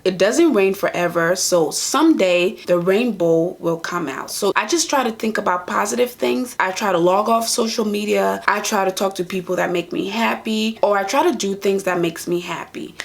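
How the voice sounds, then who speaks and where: A woman's voice: medium pitch, vocal fry. A young woman from Liberia, a nurse and vlogger, answering viewer questions in an earnest, casual chat to camera in a small room. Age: young adult. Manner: earnest, talks straight to viewers.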